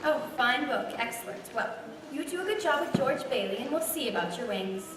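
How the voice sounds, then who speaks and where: A woman playing a brisk, authoritative chief of the angels in an old-time radio play. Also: sits high, expressive, background noise. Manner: brisk, commanding. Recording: very echoey stage from far off.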